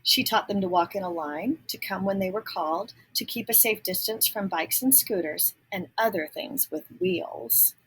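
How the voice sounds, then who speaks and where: A woman reading a story aloud to young children, pausing to explain words and ask questions. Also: mid-range voice, a bit bright, husky, low-quality recording. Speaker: picture book reader.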